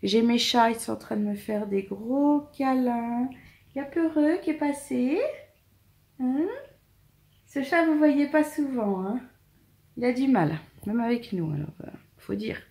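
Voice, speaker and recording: A woman's voice: a little high, a little slow, creaky, long pauses. A woman in her late twenties with a French accent, chatting casually to camera in a family vlog, rambling about her day. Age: 20s. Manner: chatty, rambling, talks softly to her cats. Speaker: family vlogger.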